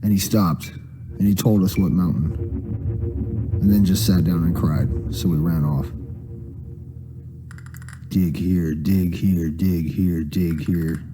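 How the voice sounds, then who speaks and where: A man with a low-pitched voice narrates a story as spoken word, with music clearly playing behind him. His delivery is casual and slangy, with plenty of swearing. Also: steady tone, slow pace, pauses often.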